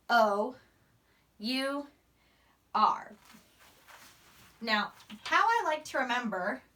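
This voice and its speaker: High, bright young woman teaching phonics. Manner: instructional, sounds out words and letters emphatically, checks with "right?". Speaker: primary school teacher. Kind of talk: phonics lesson for young children. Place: small indoor room, in front of a whiteboard. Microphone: camera microphone a few steps away. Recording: voice distant from the camera mic.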